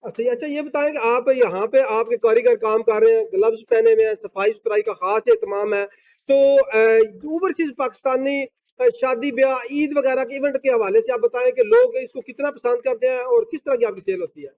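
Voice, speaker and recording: Very high-voiced male field reporter. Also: lively intonation. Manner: reportorial, halting with fillers. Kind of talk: news field report and interview. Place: outside a sweets factory. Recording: dull lo-fi field recording.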